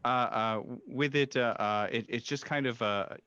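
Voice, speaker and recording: A man running a tabletop horror game, improvising his narration in halting, hesitant phrases as game master. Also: mid-range voice, pushed and bright, smooth, lo-fi sound. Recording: music behind the voice. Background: background music.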